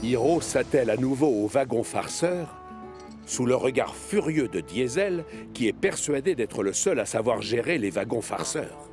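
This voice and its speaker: A man narrating a children's animated story in an expressive storyteller's manner. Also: mid-range voice, moderate pace. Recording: music behind the voice. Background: music.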